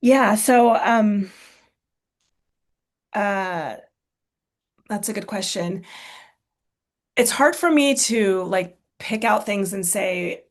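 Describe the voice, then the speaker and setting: A woman's voice: mid-range voice, drawn-out words, long pauses. An American-born woman, author and speaker, answering questions in a casual talk, thoughtful, with many fillers, restarts and tag questions. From United States.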